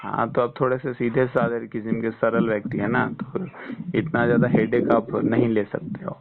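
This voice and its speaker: A man's voice: medium pitch, little pitch movement, moderate pace, creaky, noisy background. A man giving casual, reassuring health advice as a counsellor on a phone consultation. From West Bengal, India. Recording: muffled, band-limited phone call recording.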